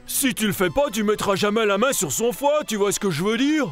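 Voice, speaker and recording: A man voicing a crude, blustering henchman with a gruff, bullying delivery in a French children's cartoon. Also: sits high, animated, fairly quick, faint music behind. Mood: threatening.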